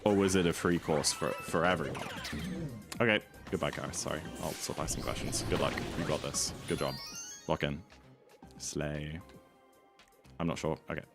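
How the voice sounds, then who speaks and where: A young man with a deep voice, streaming and chatting easily with viewers in a rambling, relaxed way. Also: normal speed, creaky, pauses often. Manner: rambling, easygoing, full of "like". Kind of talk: casual chat while gaming, answering chat. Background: music.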